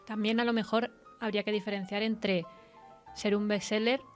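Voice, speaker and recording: A woman from interviews a guest on an informal radio show. Her voice sits at a middle pitch, and she phrases things thoughtfully and conversationally. Some music can be heard with her. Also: steady tone, moderate pace.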